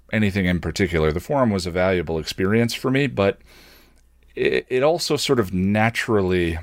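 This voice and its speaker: Deep-voiced man, halting reflective monologue. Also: no room sound, studio quality. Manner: halting, self-questioning, thoughtful. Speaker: podcast host and video creator. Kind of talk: casual reflective solo monologue.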